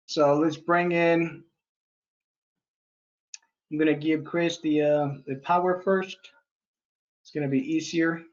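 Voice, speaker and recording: A man's voice: a little high, fairly even intonation, slow pace, pauses often. A man hosting a webinar, casually bringing in callers and handling the screen-sharing with plenty of fillers. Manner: casual, fillers while managing the call. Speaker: webinar host. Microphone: video call. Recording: dull lo-fi call audio.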